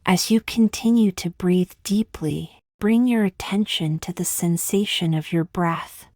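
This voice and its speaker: Soft, low-pitched woman guiding meditation. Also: no echo, clean studio sound. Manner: slow, gentle and soothing, with long pauses. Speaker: meditation guide. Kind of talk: scripted guided meditation narration.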